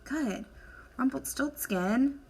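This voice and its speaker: A woman teacher leading an instructional lesson for children, asking questions, encouraging answers and dictating deliberately as she writes. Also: high-pitched, animated, breathy edge, poor audio. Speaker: elementary school teacher.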